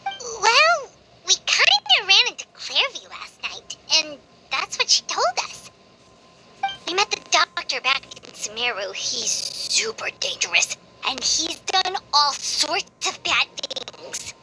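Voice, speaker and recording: A woman's voice: extremely high pitch, big pitch swings, slow pace, noisy background, heavily acted. A woman voicing a small, childlike, earnest fairy companion who worries and refers to themself in the third person, in a video game. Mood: worried.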